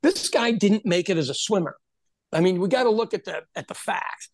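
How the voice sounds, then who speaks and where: A middle-aged man who tells stories in casual podcast talk. He drops into the voices of the people he quotes, and he swings his pitch up and down as he goes. His voice is recorded dry and close. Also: brisk, no echo. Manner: animated storyteller, acts out quoted voices. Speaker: podcast host. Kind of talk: casual conversation.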